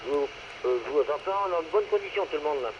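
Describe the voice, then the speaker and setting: A man's voice: a little high, expressive, quick pace. A man chatting casually as an amateur radio operator, taking turns on air. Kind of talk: casual radio contact chat. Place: radio transmission with noise behind. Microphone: two-way radio microphone. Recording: muffled two-way radio, clear static noise.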